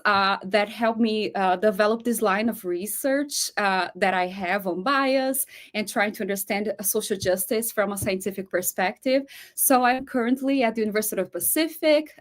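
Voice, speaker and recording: A woman from Brazil whose first language is Portuguese, speaking with a Brazilian accent and giving a chatty webinar lecture over a video call, full of fillers. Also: medium pitch. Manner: warm, chatty, frequent fillers and laughs. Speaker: guest speaker, professor.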